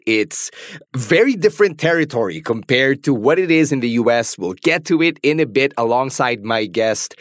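A man's voice: medium pitch, no room sound. Filipino man hosting, dry close sound. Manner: articulate, conversational, switches into Tagalog. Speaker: podcast host. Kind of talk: podcast introduction and interview.

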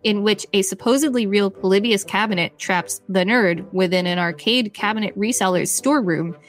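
A woman's voice: medium pitch, normal speed. A woman co-hosting a podcast, narrating a scripted encyclopedia-style article aloud.

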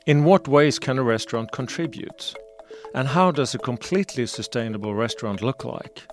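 A man hosting a podcast, reading a measured, prepared introduction. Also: mid-range voice, expressive, faint music behind. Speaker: podcast host. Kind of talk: scripted podcast intro and interview.

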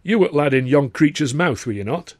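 A man's voice: on the high side, expressive, fairly quick, darkish tone, no room sound, studio quality, pitched above the performer's own voice, close to an everyday voice. A man voicing a dapper, shrewd little theatre man with a blunt, sarcastic manner and a Northern English accent. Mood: blunt, knowing. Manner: sarcastic, probing.

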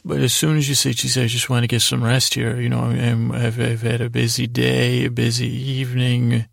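A man hosting a sleep podcast in a gravelly voice, meandering and halting through gently absurd bedtime monologue, repeating himself and drifting into digressions. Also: mid-range voice, flat delivery, no room sound, clean studio sound. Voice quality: gravelly, creaky voice. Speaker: podcast host.

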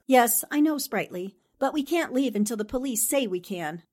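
A woman performs an insistent newspaperwoman from an old radio drama. Her voice is high and swoops dramatically as she questions people. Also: expressive, moderate pace, a little mellow. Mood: placating. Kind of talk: 1940s radio drama acting.

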